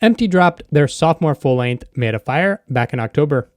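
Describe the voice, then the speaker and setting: A man's voice: on the high side, expressive, moderate pace, a little mellow, no room sound, clean studio sound. A man hosting an interview podcast in a casual, conversational style.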